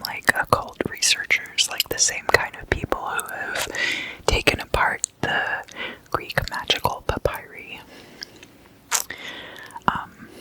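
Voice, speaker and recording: Slow, bright whispering ASMR host. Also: poor audio. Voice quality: breathy unvoiced whisper. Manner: casual, halting explanation in short phrases. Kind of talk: whispered ASMR, informal explainer.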